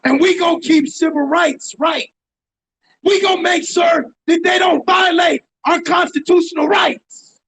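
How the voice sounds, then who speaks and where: A man at an outdoor street protest, shouting chants and leading the crowd in call-and-response. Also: very high voice, creaky. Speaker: protest rally speaker. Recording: loud crowd, noisy outdoor rally.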